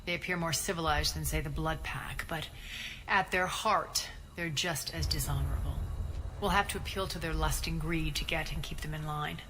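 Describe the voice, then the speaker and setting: A woman's voice: deep voice, fairly even intonation, normal speed, background noise, close to an everyday voice. An actress playing a ruthless female crime boss in a video game, commanding and clipped with a cool sardonic edge. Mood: dry, calculating. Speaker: gang leader and commander. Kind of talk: video game cutscene acting.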